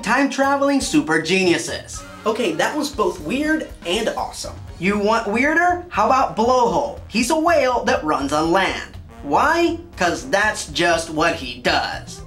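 Theatrical male reviewer, animated delivery. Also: very high voice. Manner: theatrical, emphatic. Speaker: video review host. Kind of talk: scripted review commentary. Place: small indoor studio room.

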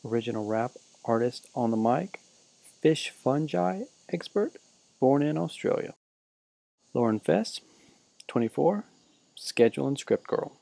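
Deadpan guy narrating a spoof doc, dark mellow voice with some gravel. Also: medium pitch, unhurried, pauses often, no echo, rough recording. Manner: deadpan, listing roll call. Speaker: narrator of a class parody film. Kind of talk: deadpan mock-documentary narration.

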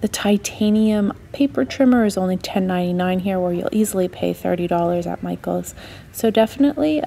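A woman's voice: medium pitch, vocal fry, mellow. A woman giving a casual, chatty and enthusiastic running narration as a shopping vlogger. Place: indoors, in a store aisle.

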